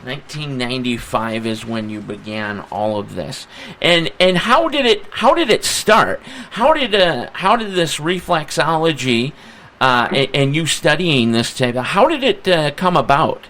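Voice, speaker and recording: An American man hosting a live radio interview with a deliberate, announcer-like delivery. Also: fairly high pitch, big pitch swings, a bit bright. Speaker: radio talk show host.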